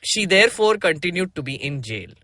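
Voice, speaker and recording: Bright-voiced Indian man hosting a podcast. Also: high-pitched, very animated, a little fast, no room sound. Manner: explanatory, mixes Hindi into English. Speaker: podcast host. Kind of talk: casual podcast talk with dramatic read-aloud.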